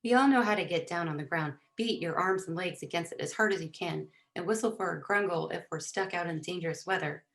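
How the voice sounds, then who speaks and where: A woman's voice: fairly low pitch, brisk, vocal fry, hardly any pauses, fairly bright tone. A woman voicing a concerned, practical father in a storybook, speaking firmly and protectively. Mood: firm instruction. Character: concerned, practical father leading a storm search. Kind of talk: acted audiobook dialogue.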